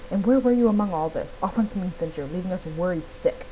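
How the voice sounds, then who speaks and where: A stern male druid acted out by a woman from a fantasy game, indignant and formal. He scolds and accuses with dramatic flair, over clear background noise. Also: fairly low pitch, fast talker, warm tone, natural voice. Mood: angry, accusing. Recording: clearly noisy recording.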